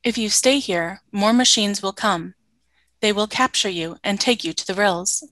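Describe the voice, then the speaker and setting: A woman plays an obedient female alien warrior in a radio play. She speaks formally in short, literal statements at a middle pitch. The recording is dry, with little room sound. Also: normal speed, a bit bright, no echo, close to an everyday voice. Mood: stern warning.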